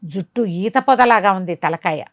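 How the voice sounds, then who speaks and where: A woman telling a folk moral tale in a conversational, dramatic way, pausing for asides and rhetorical questions. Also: mid-range voice, animated, no room sound. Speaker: storyteller. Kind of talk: animated oral storytelling of a folk moral tale.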